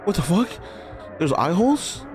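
A young man giving casual, excitable, sweary reaction commentary on a film, close on a studio mic. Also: sits high, normal speed. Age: young adult. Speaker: reaction video host. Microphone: close studio mic on boom arm. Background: film music.

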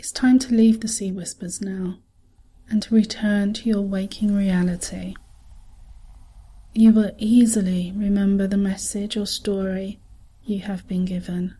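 A woman narrating a scripted guided visualisation in a calm, soothing, soft voice with breathy edges and long pauses. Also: medium pitch.

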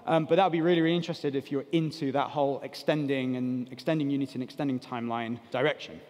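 A man's voice: mid-range voice, fairly even intonation, fast, darkish tone. A man in his thirties giving an informal technical talk on a conference stage, explaining things in a casual, loose way. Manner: casual, explanatory, peppered with "like" and "kind of". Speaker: conference speaker. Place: large conference hall stage. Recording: big reverberant hall PA sound.

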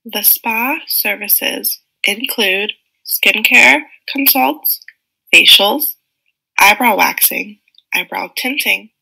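A young woman narrates a prepared script for a class presentation, working through lists one item at a time. Her voice is very bright and sharp, with a gravelly edge of vocal fry, and her pitch is ordinary. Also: slow pace, rough recording. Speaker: student presenter. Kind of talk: scripted narration of an animated case study.

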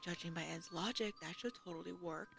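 A woman voicing a wry, jaded robot with an edge of madness in an animated drama, wordy and sardonic. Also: on the low side, brisk, gravelly, slightly rough, heavily acted. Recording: loud background music.

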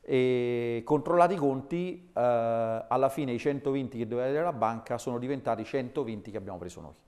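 A man, a lawyer, speaking relaxed as a studio guest. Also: mid-range voice, brisk. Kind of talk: studio interview.

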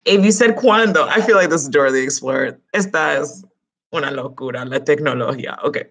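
A woman's voice: deep voice, quick pace, husky. Chatty woman teaching Spanish by webcam. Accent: Dominican Spanish in Spanish words. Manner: lively, chatty, joking asides to students. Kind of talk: online class lesson. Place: small home room. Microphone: webcam close up.

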